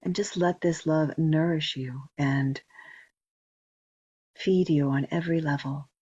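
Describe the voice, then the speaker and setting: Female meditation guide, soft husky breathiness, gentle spaced-out phrasing, dry but dull lo-fi sound. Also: low-pitched, steady tone, no echo. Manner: slow, gentle instruction with long pauses. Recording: dull, muffled lo-fi recording.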